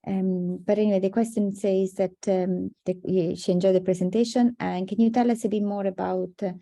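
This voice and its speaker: Very mellow-voiced woman moderating a seminar. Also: mid-range voice, fairly even intonation, normal speed. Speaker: seminar host relaying questions.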